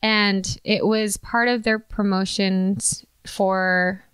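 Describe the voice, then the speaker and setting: A woman in her twenties with a Californian accent, a podcast guest talking casually at an unhurried pace with fillers. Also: mid-range voice, steady tone, a bit bright, smooth, dry recording. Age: 20s. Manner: slow, unhurried, with light laughter and fillers. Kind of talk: casual interview conversation.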